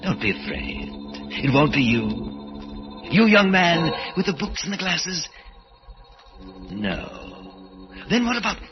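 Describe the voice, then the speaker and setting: A man's voice: a little high, very animated. A man playing a menacing killer who believes he is Death, in brooding, theatrical old-time radio horror style. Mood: predatory. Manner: brooding, theatrical, deliberate soliloquy. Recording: muffled old radio recording with music.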